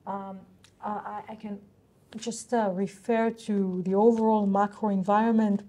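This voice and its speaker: A woman answering investor questions on an earnings call in a halting, businesslike, explanatory way. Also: mid-range voice, flat delivery, dark tone.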